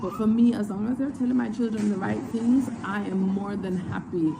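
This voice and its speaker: A woman's voice: medium pitch, steady tone, moderate pace, creaky, noisy background. A woman in her thirties speaking as a mother, confident and direct, seated outdoors by a pool. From Jamaica. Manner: confident, direct, says "babes". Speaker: mother and vlogger. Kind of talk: casual vlog monologue.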